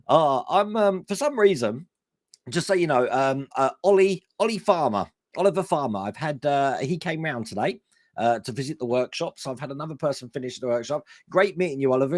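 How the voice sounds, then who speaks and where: A man hosting a casual live stream, his voice hoarse and worn, chatting enthusiastically with lots of fillers. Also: medium pitch, dry recording, studio quality. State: hoarse, voice going.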